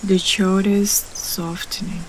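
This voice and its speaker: Woman doing guided meditation, super slow and gentle, soft breathy phrase endings, steady ambient hiss behind her. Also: medium pitch, low-quality recording. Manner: gentle, soothing, unhurried with long pauses. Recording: clearly audible steady background ambience noise.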